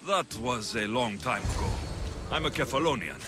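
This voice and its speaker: A man's voice: medium pitch, animated, normal speed, music in the background, close to an everyday voice. A man playing a young, wary mercenary in a video game, with a dry, sardonic way of talking. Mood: wry. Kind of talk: video game cutscene acting.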